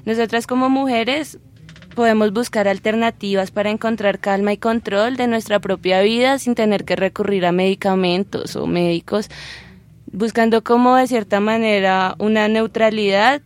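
Calm woman explaining. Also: medium pitch, normal speed. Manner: calm, explanatory, with fillers like "pues". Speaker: presenter. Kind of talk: informational talk.

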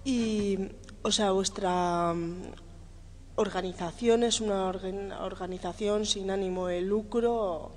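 Woman radio host, slow and halting, faint ambience behind. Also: medium pitch, fairly even intonation, a little hiss or hum. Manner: hesitant, many fillers and restarts. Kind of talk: live radio interview.

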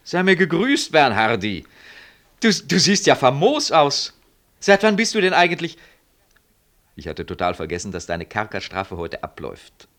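An actor playing a vain, fawning married professor in a German radio play, with a jovial, gushing manner. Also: male voice, medium pitch, wildly swooping pitch, moderate pace, a bit bright. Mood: effusive. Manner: gushing, jovial, ends remarks with "Wie?".

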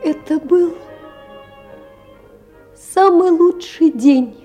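An actress playing a tender, wistful young woman who narrates her memories in an audio drama, with dramatic pauses. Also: very high-pitched, dark tone, faint music behind, full character voice. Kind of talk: first-person dramatic narration.

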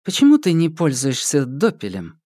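A man's voice: a little high, huge pitch swings, normal speed, dark tone, no echo, studio quality. A man voicing an inquisitive, frank woman in an audiobook, speaking in an earnest, probing way. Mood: curious. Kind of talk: audiobook character voice.

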